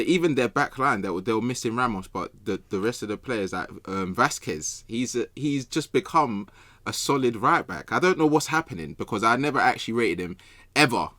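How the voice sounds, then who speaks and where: A man's voice: lowish voice, a bit bright, no echo. A man on a football podcast panel, chatting informally with slang and repeated phrases. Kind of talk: casual football chat.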